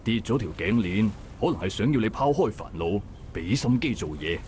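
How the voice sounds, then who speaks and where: Guy voicing a chill dockworker buddy in a visual novel, lowish voice, easy gentle pace. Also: lively intonation, normal speed, a little hiss or hum, close to an everyday voice. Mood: gentle reassuring. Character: calm steady male dockworker friend. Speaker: game character.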